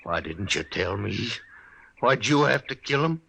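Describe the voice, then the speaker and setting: Gravelly, anguished frontier husband. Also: male voice, on the low side, expressive, measured pace, bright, forceful tone, slight background noise, heavily acted. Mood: anguished, pleading. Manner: urgent, pleading, rustic speech. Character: anguished, protective frontier husband, rough and desperate. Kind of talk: 1950s radio western acting.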